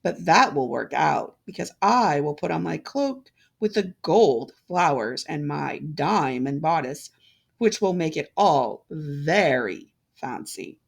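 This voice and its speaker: A woman puts on a vain young stepsister from a storybook. She shows off in an affected, boastful way, drawing her words out slowly with a creaky edge. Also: low voice, animated, full character voice.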